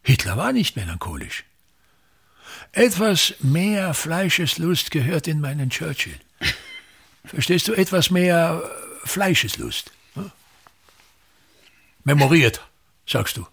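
A man's voice: a little high, big pitch swings, slow, vocal fry, pauses often, a bit bright, breathy edge, full character voice. An actor in a radio play as a pompous, self-pitying older theatre director and father, grandiloquent and complaining, repeating himself. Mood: imperious, instructing.

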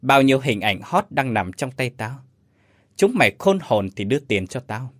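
Man playing a sly, smug young gigolo, animated. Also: mid-range voice, moderate pace, no room sound, clean studio sound. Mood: mocking threat.

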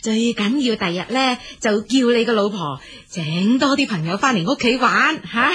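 A woman's voice: a little high, expressive, normal speed, a bit bright, breathy edge, full character voice. An actress playing a proud, teasing, nagging older mother-in-law in a radio drama, exclaiming in Hong Kong Cantonese. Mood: proud, needling. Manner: exclamatory, needling.

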